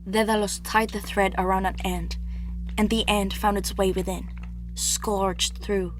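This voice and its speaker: A young princess of Greek tragedy, played by a woman, wistful yet proud and defiant. She tells her tale in measured, poetic stage monologue at a medium pitch, with soft music beneath. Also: flat delivery, natural voice. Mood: reflective. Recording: music under the voice.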